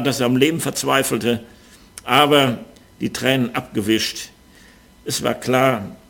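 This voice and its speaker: Earnest older German preacher. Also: male voice, medium pitch, a bit bright. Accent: Ruhr area German. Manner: earnest, measured, with frequent fillers and hesitations. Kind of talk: sermon.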